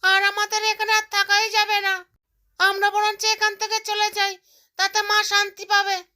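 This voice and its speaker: A woman's voice: very high voice, monotone, moderate pace, pushed and bright. A woman voicing a little cartoon bird with a childlike, chattering manner. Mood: disappointed, resolved. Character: greedy, stingy little mother bird and her chirpy chicks. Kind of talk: cartoon character voice.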